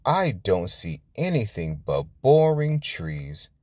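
A man voicing an excitable, opinionated little girl pig from a storybook, with drawn-out exclamations. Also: fairly low pitch, no room sound, exaggerated voice. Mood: bored.

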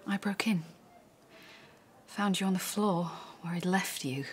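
An actress playing a concerned, blunt friend in a radio drama, hushed and matter-of-fact. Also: female voice, lowish voice, unhurried, slight background noise, natural voice.

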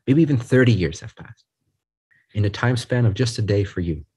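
A man leading a guided group meditation, speaking in a soothing, unhurried way in short phrases with pauses. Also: on the low side, expressive, darkish tone, breathy edge.

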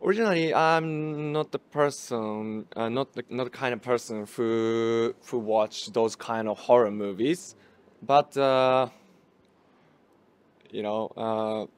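Young Japanese-native male actor, unhurried, hesitant English, mid pitch, held mic. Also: clean tone. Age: young adult. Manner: halting, searching for English words. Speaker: actor guest. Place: small room at a film festival. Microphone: handheld mic held by interviewer.

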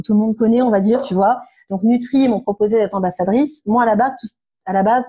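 A woman in her thirties, slightly hoarse, talking frankly and animatedly as an interview guest, with many fillers. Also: mid-range voice, dark tone. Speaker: interview guest, nutrition therapist. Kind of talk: casual conversational interview.

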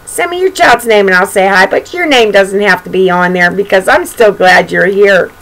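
A woman's voice: medium pitch, normal speed, vocal fry, a bit bright, rough recording. An older woman reading a picture book aloud to young children, with chatty, playful, singsong asides. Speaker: children's storybook reader and show host. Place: bedroom at home.

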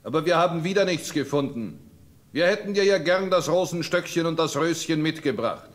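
An actor playing a baffled, apologetic farmhand in a fairy-tale audio play. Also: male voice, a little high, expressive, a little fast, lightly acted.

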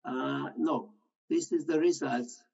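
A man giving an unrehearsed medical slide presentation, improvising his commentary in a halting way. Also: fairly high pitch, steady tone, creaky, a little mellow, husky. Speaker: conference presenter. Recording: dull, muffled lo-fi audio.

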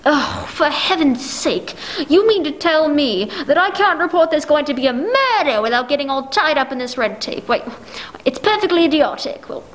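A woman's voice: sits high, animated, moderate pace, few pauses, low-quality recording. A woman acting an anxious, demanding, self-pitying bedridden wife in a 1940s-style radio play, stammering and indignant.

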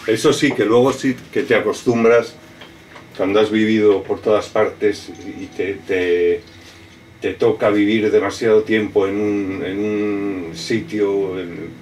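A middle-aged man, a native French speaker, answers questions in a deep, unhurried voice, full of hesitations and restarts. The mic sits some way off, so the sound is distant, with steady room noise underneath. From raised in France. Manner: halting, many restarts and fillers. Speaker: artist. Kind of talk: interview answers. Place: cluttered studio with computer and speakers.

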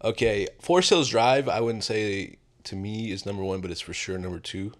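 A young man from Northern California with a deep voice, chatting loosely as the guest of an interview and filling his speech with "like". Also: moderate pace. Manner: laid-back, rambling, many "like" fillers. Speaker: rapper guest. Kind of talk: casual interview chat.

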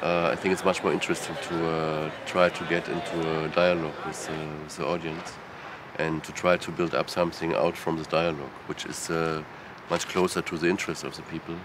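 A middle-aged man, a filmmaker, speaking in a thoughtful, slightly halting way in an interview. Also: very deep voice, level tone, crowd noise. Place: rooftop terrace, outdoors. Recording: outdoor noise behind.

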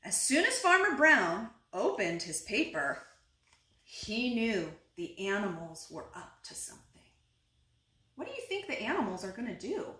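A woman in her thirties reading a children's picture book aloud expressively, deliberately phrased, now and then putting questions to young listeners. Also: mid-range voice, sing-song, pushed and bright. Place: living room indoors, seated on a couch. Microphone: camera a short distance away. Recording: roomy indoor echo.

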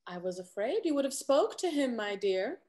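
A woman's voice: fairly high pitch, big pitch swings, moderate pace, a little mellow. A young woman playing a needling society confidante in a period stage comedy, arch and theatrical. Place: indoor room, video call.

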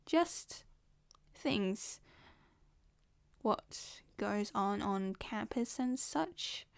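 A woman's voice: mid-range voice, expressive, extremely slow, vocal fry, no room sound, exaggerated voice. A woman with an Australian accent playing a possessive, scheming young woman in an ASMR roleplay, sweet and coaxing. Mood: suspicious.

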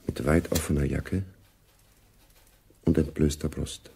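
Brooding radio play narrator, really low dark raspy voice, measured n sombre. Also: male voice, fairly even intonation, full character voice. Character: grave, brooding male narrator of a spy story. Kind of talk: scripted radio drama narration.

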